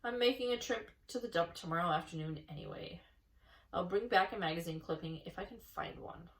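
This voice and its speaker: A woman voicing a gruff, selfish male rat, dismissive and surly, in a storybook reading. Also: on the low side, brisk, natural voice. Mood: surly, grudging. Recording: echoey room, distant mic.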